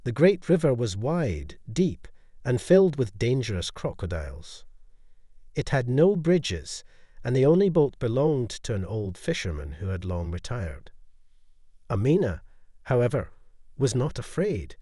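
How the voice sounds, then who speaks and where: A man narrating a scripted bedtime folktale in a dramatic, expressive storytelling manner. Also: medium pitch, unhurried, no echo, studio quality.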